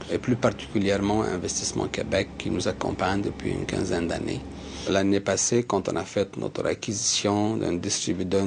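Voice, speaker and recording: Middle-aged man from Lebanon, gravelly and low, measured interview delivery. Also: darkish tone, slight background noise. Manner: measured, with hesitant fillers. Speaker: company head in a testimonial. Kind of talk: interview testimony.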